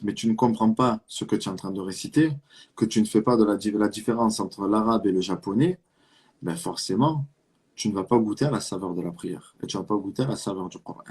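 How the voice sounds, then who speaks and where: A man's voice: lowish voice, a little mellow, breathy edge. A man from Marseille with a southern French accent giving an earnest religious talk, urging the listener with rhetorical questions and repetition. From France (Marseille). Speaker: Islamic preacher.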